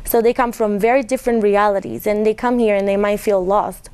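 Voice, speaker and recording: Italian-accented young woman, language lab staff; resonant, clear delivery; earnest guest explaining her work. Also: mid-range voice, fairly even intonation, brisk, darkish tone. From Italy. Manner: earnest, explanatory, with small restarts. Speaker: ESL lab facilitator, guest. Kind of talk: college TV interview.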